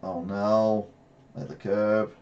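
Excitable English man commentating a race game. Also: on the low side, slow, highs cut off. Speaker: retro gaming streamer. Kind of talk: live gameplay commentary. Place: small room at home, seated in gaming chair.

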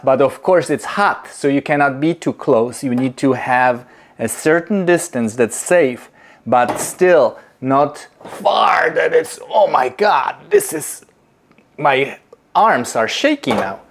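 A middle-aged man, teaching slowly and deliberately while he demonstrates in a home kitchen. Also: mid-range voice. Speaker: movement teacher. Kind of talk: instructional demonstration.

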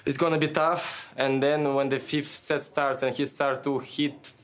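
A young man in his twenties talks through his match over a video link from a press room, and his pitch sits right in the middle of the male range. He rambles and hunts for the right words, restarting sentences as he goes. He laughs now and then, but the delivery stays loose and unscripted. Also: level tone, unhurried. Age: 20s. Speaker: tennis player. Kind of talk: post-match interview. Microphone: remote video link, head and shoulders.

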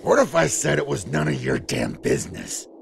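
Very gravelly, defiant fighter. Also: male voice, mid-range voice, huge pitch swings, normal speed, background noise, heavily acted. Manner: curt, irreverent, combative. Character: gruff, defiant male fighter. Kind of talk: animated game cutscene acting. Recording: dramatic music under the voice.

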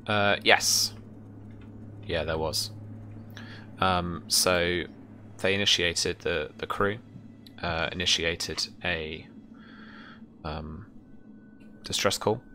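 A young man improvising tabletop game narration as game master, halting and thinking aloud. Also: low voice, steady tone, sharp tone, a little hiss or hum. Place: small room at home, indoors.

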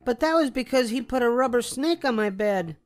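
A man's voice: very high-pitched, lively intonation, a little fast, soft background music, voice pushed up. A man voicing a squabbling young girl bear cub in a storybook reading, whiny and protesting.

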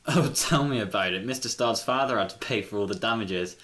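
A man's voice: lowish voice, brisk, fairly bright tone, natural voice. A man voicing a chatty, teasing male steam engine. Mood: gossipy teasing.

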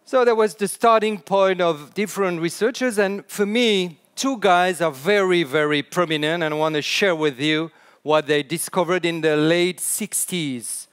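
A middle-aged man with a French accent giving an animated conference talk to an audience, chatty and now and then restarting his sentences. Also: high voice, moderate pace, clean tone. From France. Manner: animated, chatty, laughs at own jokes, some hesitant restarts. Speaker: conference speaker. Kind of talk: keynote talk on storytelling. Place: large indoor conference stage.

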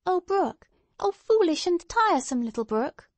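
A woman voicing a lively, curious, prattling little girl in a storybook, airy and full of questions and exclamations. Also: very high-pitched, normal speed, no echo, pitched above the performer's own voice. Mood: playful scolding.

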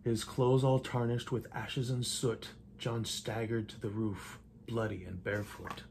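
An older man gives a theatrical read-aloud of a rhyming picture book. His delivery is measured, with playful emphasis on the verse. He is recorded at home, with clear noise in the room. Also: mid-range voice, little pitch movement. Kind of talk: rhymed storybook read-aloud. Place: indoors in a home living room.

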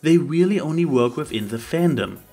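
Male video essayist, voice on the higher side, emphatic opinion commentary over background music. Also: expressive, moderate pace, warm tone. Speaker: video host. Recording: music behind the voice.

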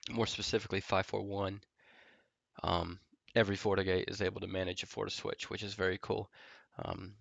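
Congested, nasal man with a gravelly low voice. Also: no room sound, dull audio. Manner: relaxed, filler-heavy explaining while typing commands. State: congested from a head cold. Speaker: network engineer tutorial host. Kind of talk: casual screen-recorded tech tutorial. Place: home office.

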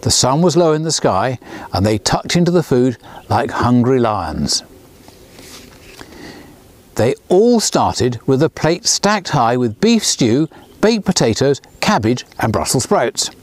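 Animated man reading a storybook. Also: slow pace. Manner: lively storyteller, lifts pitch for characters' lines. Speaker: children's author reading his book. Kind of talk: storybook read-aloud. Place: outdoors beside a miniature railway.

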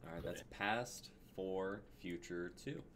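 A man's voice: sits low, slow, fairly bright tone. A man in his twenties hosting casually on a video call with noisy audio. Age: 20s. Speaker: host of a script-reading stream.

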